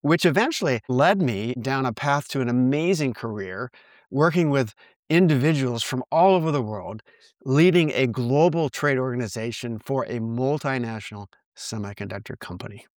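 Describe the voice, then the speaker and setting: A man in his sixties from the United States hosting his own podcast, reading reflective narration in an earnest, measured way. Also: medium pitch, dry recording, clean studio sound. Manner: earnest, measured, reads from a script. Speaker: podcast host.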